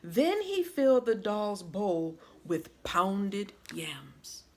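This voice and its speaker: Lady teacher reading a picture book out loud, takes her time, super animated and dramatic. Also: mid-range voice, a little hiss or hum. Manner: dramatic, deliberate phrasing. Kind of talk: expressive children's storybook read-aloud.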